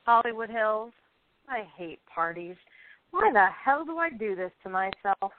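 A woman's voice: mid-range voice, expressive, unhurried, natural voice. A woman acting a stubborn, frustrated thirty-year-old struggling actress in an amateur radio play, emphatic and theatrical. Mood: weary. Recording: tinny phone-line internet radio audio.

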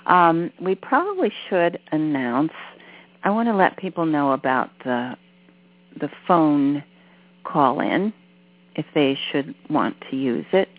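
A woman hosting a call-in radio show, reading out announcements at an unhurried pace. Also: low-pitched, lively intonation. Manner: unhurried, reading out numbers slowly. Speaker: host. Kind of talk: casual radio chat with announcements. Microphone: telephone. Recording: phone-line audio with mains hum.

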